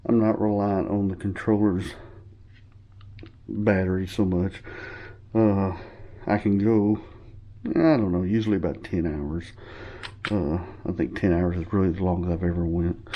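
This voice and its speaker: A man's voice: sits low, fairly even intonation, measured pace, long pauses, dull audio. A man giving a casual, rambling show-and-tell of his equipment, sniffling a little from a cold and correcting himself as he goes. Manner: rambling, informal, with fillers and self-corrections. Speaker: hobbyist showing his gear.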